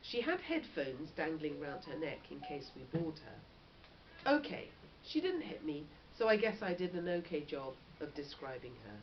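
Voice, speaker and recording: A woman, a teacher reading a story aloud and putting questions to her class in an expressive, encouraging way. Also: low-pitched, measured pace. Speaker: primary school teacher. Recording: dull lo-fi recording with background noise.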